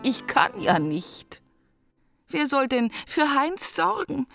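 An actress playing a fussy, self-pitying middle-class housewife who complains indignantly in a German radio play. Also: female voice, high voice, sing-song, slow, vocal fry, a bit bright, faint music behind, heavily acted. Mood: plaintive, doting.

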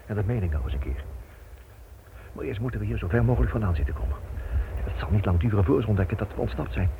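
An actor playing a weary, exasperated husband on the run in a radio drama, terse and gruff. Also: male voice, lowish voice, lively intonation, quick pace. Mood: tense, gruff. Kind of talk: Dutch radio drama acting. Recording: dull, faintly noisy old radio recording.

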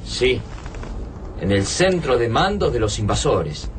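A man's voice: medium pitch, sing-song, takes it slowly. A man with an Argentine accent playing a practical, sober-minded survivor in a radio drama, earnest and explanatory, heard slightly off-mic. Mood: grim. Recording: slightly distant, faintly noisy scene ambience.

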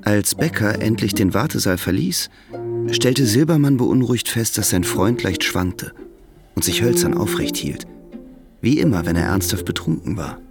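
An actor narrating a radio drama as a sober, observant literary storyteller with measured delivery. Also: male voice, on the low side, animated, over loud music.

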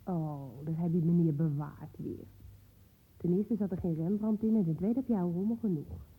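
A woman's voice: fairly low pitch, moderate pace, breathy edge. A woman playing a bossy, scornful mother in a radio play, nagging sarcastically in colloquial speech. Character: bossy, nagging, scornful mother waiting at her daughter's school. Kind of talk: naturalistic radio play dialogue. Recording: dull, muffled old radio play recording.

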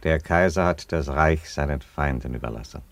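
An actor playing an aging Roman emperor in a radio play, an unhurried, wry father with a dry, sententious delivery. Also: rumbling low. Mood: calm, detached.

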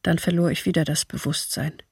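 Traumatised survivor mother, grave restrained testimony, raspy with lots of creak, radio drama. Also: lowish voice, steady tone, fairly quick, a little mellow, dry recording, studio quality, heavily acted. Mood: pained, grave. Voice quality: husky, creaky.

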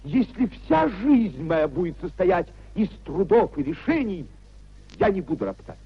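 A man's voice: sits high, big pitch swings, measured pace, exaggerated voice. An actor playing a proud, idealistic young man who declaims fervently, in a 1950s Soviet radio play. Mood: resolute, solemn. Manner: declamatory, impassioned oratory. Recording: muffled 1950s radio theatre recording.

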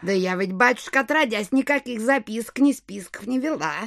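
A woman's voice: a little high, expressive, fairly quick, vocal fry, a bit bright, no echo, clean studio sound. An actress playing a fussy, thrifty old country landlady in an audio play, with homely, fretful speech. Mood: plain, flustered.

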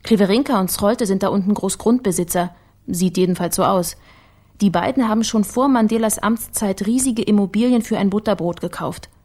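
A woman's voice: mid-range voice, a little fast, a little mellow, close to an everyday voice. Actress as cool, matter-of-fact woman researcher. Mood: cool, explanatory. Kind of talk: German radio crime drama acting.

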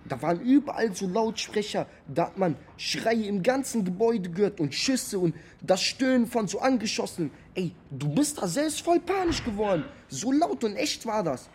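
An actor playing a brash, excitable young street tough in a naturalistic radio drama, speaking in blunt, colloquial slang. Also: male voice, high voice, moderate pace, few pauses, a little hiss or hum. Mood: excited. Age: young adult. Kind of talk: interview monologue in a naturalistic German radio drama.

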